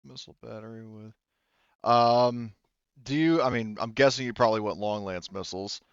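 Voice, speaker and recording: A man's voice: fairly low pitch, normal speed, clear, resonant tone, no room sound, lo-fi sound. A man casually running a tabletop game as game master, thinking aloud with a hesitant delivery.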